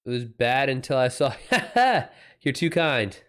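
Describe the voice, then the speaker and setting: Male livestreamer, mid-range voice. Casual chat with viewers, slangy and halting, swears freely. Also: mid-range voice, very animated, fairly bright tone, smooth. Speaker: livestream host and writer.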